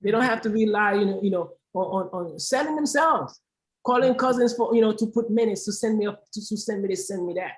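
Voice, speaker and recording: A woman's voice: mid-range voice, fairly quick, low-quality recording. A woman from Haiti speaking as a panel guest, impassioned and emphatic, repeating phrases for stress. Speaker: aid organization founder, panel guest.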